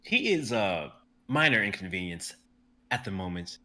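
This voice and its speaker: A man voicing Akalos, a commanding warrior who speaks in a formal, measured way. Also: deep voice, very animated, bright, forceful tone, heavily acted. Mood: stern.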